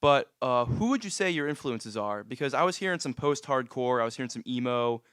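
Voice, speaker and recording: A man's voice: medium pitch, lively intonation, a little fast, fairly bright tone, clean tone, dry recording, clean studio sound. A man in his twenties hosting a live podcast interview at a music venue, laid-back with frequent fillers. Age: 20s. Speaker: podcast host.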